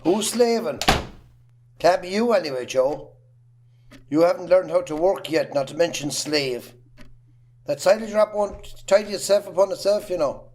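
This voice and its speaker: A man acting a bullying, miserly farmer father in an amateur drama, blunt and gruff, with an Irish accent. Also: high voice, lively intonation, normal speed, pauses often, darkish tone. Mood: sneering.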